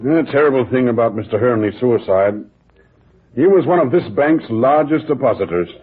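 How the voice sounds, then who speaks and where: Husky, formal radio-drama banker. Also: male voice, medium pitch, animated, normal speed. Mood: grave, puzzled. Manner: formal, hesitant. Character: polite, formal, faintly uneasy male banker. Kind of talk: old-time radio drama acting. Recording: tinny old radio recording.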